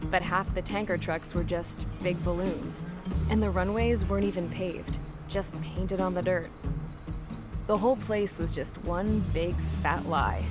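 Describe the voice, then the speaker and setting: A woman's voice: on the low side, close to an everyday voice. An actress playing a defiant young woman mechanic, telling her prison story in a wry, blunt way for a game cut-scene. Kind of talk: dramatic first-person cut-scene narration. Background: loud music.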